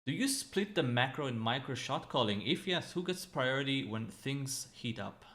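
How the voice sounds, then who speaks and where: A man's voice: medium pitch, lively intonation, normal speed, a bit bright. Man hosting, reading out viewer questions. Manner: reads questions out, light fillers. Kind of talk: casual panel chat.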